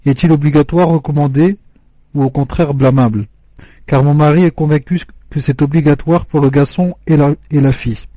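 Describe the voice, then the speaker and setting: A man reading out listeners' written questions in a measured, formal way, on a dull, dry lo-fi recording. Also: fairly high pitch, fairly even intonation, dark tone, dry recording. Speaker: question reader.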